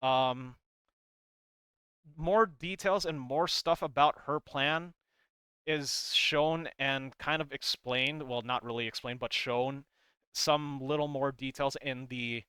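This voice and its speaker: A man with a bright, crisp voice talks through game lore in a casual explainer style. His delivery rambles and hedges as he works through his commentary. The sound is dry, with hardly any room on it. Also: mid-range voice, slow, no room sound. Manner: rambling, hedging, reads comic text aloud between comments.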